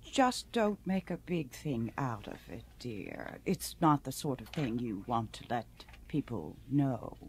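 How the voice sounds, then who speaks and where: A woman's voice: very deep voice, very animated, a little slow, few pauses, a little mellow, a little hiss or hum, full character voice. A woman in a radio drama playing a genteel, condescending family hostess with an affected, gracious manner. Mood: evasive, flustered.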